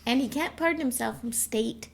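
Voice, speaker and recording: A woman's voice: fairly high pitch, moderate pace, vocal fry, slight background noise. A middle-aged woman, chatting casually with her partner as a podcast co-host. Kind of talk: casual chat between a couple. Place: at home in self-isolation.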